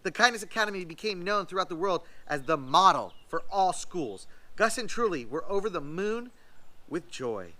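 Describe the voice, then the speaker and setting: Bright-voiced man reading a children's story aloud. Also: sits high, sing-song, normal speed. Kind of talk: scripted storybook read-aloud.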